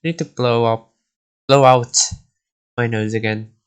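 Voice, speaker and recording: Slow-paced man streaming a game. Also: mid-range voice, steady tone, clear, resonant tone. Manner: rambling, thinking aloud. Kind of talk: casual live gameplay commentary.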